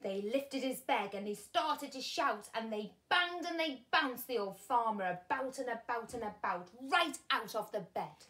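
Slow, expressive woman telling children a story. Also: fairly high pitch. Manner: animated, deliberate, dramatic pauses. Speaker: primary school teacher reading a story. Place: small furnished room at home, indoors.

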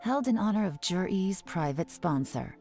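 A composed female spymaster from a game. Her voice sits low and steady, and every order comes out dry and unhurried. Music runs behind her. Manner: calm, dry and commanding.

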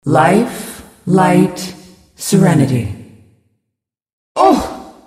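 A serene, echoing divine spirit. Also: female voice, lowish voice, wildly swooping pitch, warm tone, heavily acted. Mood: solemn. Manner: solemn, measured pronouncements. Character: serene divine female twin spirit healer, righteous and calm. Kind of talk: video game character voice lines. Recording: echoey distant reverberant sound.

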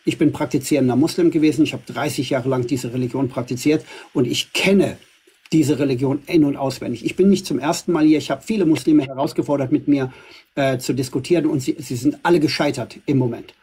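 Husky, dark-voiced man debating. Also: medium pitch, brisk. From Iran. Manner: assertive, argumentative, with frequent fillers. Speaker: livestream host. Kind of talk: live debate. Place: indoors before a green screen.

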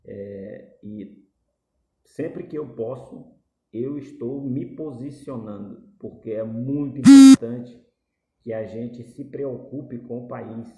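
A man's voice: mid-range voice, very animated, slow, warm tone, roomy sound. A middle-aged man with a Brazilian accent, speaking earnestly and repetitively to a phone camera in a small indoor room. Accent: Brazilian Portuguese. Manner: earnest, repetitive, gestures while talking. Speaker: political commentator. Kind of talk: direct-to-camera opinion talk. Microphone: phone camera at arm's length.

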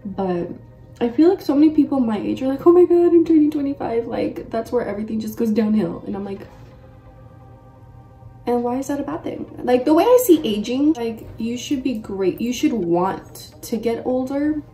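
A young woman in her mid twenties with a mellow, fairly high voice, chatting in a slangy, exclamatory way through a makeup vlog. She is recorded a little away from the mic, with soft music behind her. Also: lively intonation, moderate pace. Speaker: makeup vlogger. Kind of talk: casual chat while doing a makeup tutorial. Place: bedroom-like room at home. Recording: roomy echo, distant mic, faint music.

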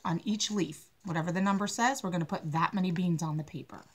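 A woman's voice: on the low side, quick pace. A middle-aged woman giving young children a simple, step-by-step hands-on lesson as a preschool teacher. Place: carpeted living room at home.

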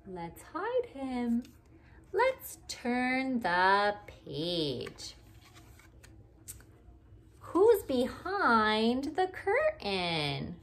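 A woman reads a picture book to young children in a high, very animated voice. She takes it extremely slowly, using simple, exaggerated phrases. She keeps asking questions as a teacher would, inviting the listener to join in. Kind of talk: interactive read-aloud for young children. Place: living room at home, indoors.

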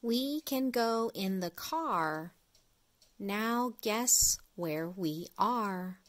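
A middle-aged woman reading an early reader picture book aloud to young children, phrase by phrase in a deliberate way. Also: mid-range voice, lively intonation. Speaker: storyteller, children's read-along host.

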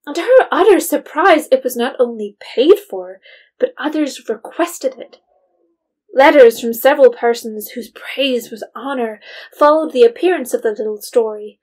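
A woman's voice: sits high, expressive, normal speed, darkish tone, slightly rough. A woman narrator reading a scripted period story as storytelling narration.

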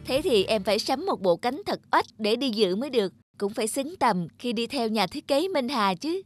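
A woman's voice: on the high side, very animated, moderate pace, soft background music. A woman playing an eager young female fashion designer in a cartoon, gushing and polite. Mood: excited. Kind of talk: cartoon dubbing.

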